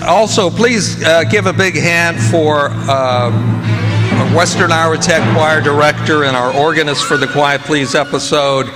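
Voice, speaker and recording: A man's voice: a little high, unhurried, over music. A man on a theater stage formally introducing the cast and crew of a live radio play to an audience, with occasional ad-libbed asides.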